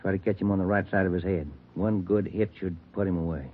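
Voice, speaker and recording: A tinny, noisy old radio recording of a man acting a steady police detective. He speaks quickly and plainly, with an understated manner. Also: sits low, natural voice. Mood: quietly determined.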